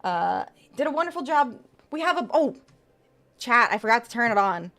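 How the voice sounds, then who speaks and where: A woman's voice: a little high, sing-song, moderate pace, fairly bright tone. A woman VTuber streamer chatting casually with her viewers and giving tarot readings. She laughs often and trails off and restarts as she talks.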